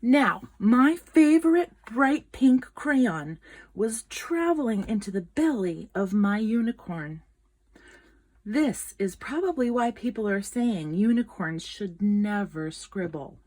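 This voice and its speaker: A woman reading a children's picture book aloud in an expressive, dramatic storytelling manner. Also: a little high, slow pace. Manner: expressive, dramatic, with gasps and whispered asides.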